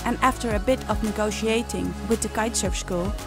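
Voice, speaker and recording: A young woman narrating her sailing vlog in a calm, plain-spoken way. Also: medium pitch, a little fast, over music. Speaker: sailing vlogger. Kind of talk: voice-over narration.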